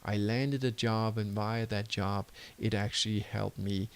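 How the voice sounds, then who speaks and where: A man with a Danish accent talks a viewer through building a website, stopping to fix his own slips and starting over. His voice sits fairly low and has a gravelly edge. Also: steady tone, a little slow. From Denmark. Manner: loose, self-correcting, apologizes for slips. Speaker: web design course instructor. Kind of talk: screen-recorded tutorial and course pitch.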